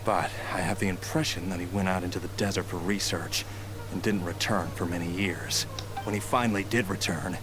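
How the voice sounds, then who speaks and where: Guy acting a bitter entitled schemer in a game, lowish voice, sneery, music playing behind. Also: steady tone, fairly quick, close to an everyday voice, low-quality recording. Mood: grudging recollection. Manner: sneering, resentful. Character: bitter, entitled grown son scheming for his inheritance. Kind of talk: English video game voice acting.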